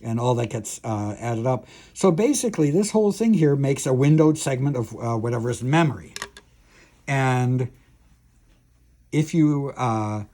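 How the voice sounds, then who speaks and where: A man lecturing as a university professor, explaining technical material in a halting way, thinking aloud with many pauses and restarts. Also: mid-range voice, lively intonation, warm tone.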